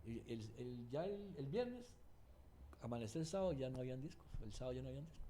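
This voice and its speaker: Fast-talking older man from Cuba telling stories. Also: mid-range voice, big pitch swings, dark tone, slight background noise. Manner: rambling storyteller with many restarts. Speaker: guest singer-songwriter. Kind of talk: anecdotal interview talk.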